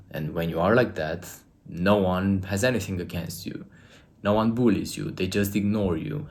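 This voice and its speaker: A man's voice: low-pitched, a little mellow. A man from Romania with a Romanian accent, giving an earnest, emphatic motivational talk to camera as a coach.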